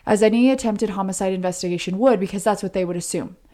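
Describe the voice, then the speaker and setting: A woman's voice: mid-range voice, fast, dark tone. A woman co-hosting a podcast, telling a true-crime story in a conversational way. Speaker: podcast co-host.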